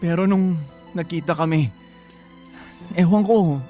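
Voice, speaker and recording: A man's voice: a little high, unhurried, over loud music, close to an everyday voice. A man playing a guilty, confused husband in a radio drama, subdued and apologetic as he pleads with his wife. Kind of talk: Filipino radio drama acting.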